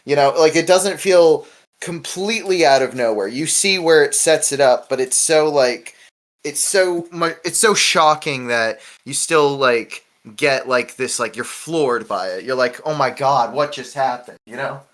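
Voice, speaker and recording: A young man hosting a casual discussion podcast, retelling things in an animated way with frequent swearing. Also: medium pitch, unhurried. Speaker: podcast host.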